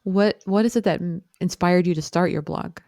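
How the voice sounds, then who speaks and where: A woman's voice: fairly low pitch, moderate pace, dark tone, dry recording, studio quality. A woman hosting a casual podcast interview, speaking informally and affirming her guest as she goes.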